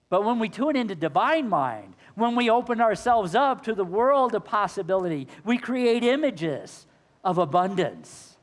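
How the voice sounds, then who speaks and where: Male minister, high voice, a bit hoarse, earnest sermon in a long-reverb church. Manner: earnest, rhetorical questions to the congregation. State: slightly hoarse. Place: church sanctuary.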